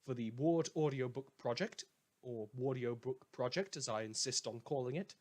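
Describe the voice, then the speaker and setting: A man's voice: medium pitch, moderate pace. A man with a mid-range voice reads out credits for a fan audio production. His delivery is an informal announcement from the show's own creator.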